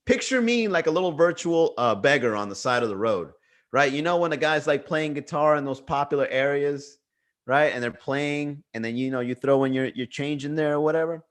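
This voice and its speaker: A man's voice: on the high side, brisk. A man with a Miami accent hosting a comedy livestream, riffing loosely and joking with his chat. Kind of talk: casual livestream stand-up riff.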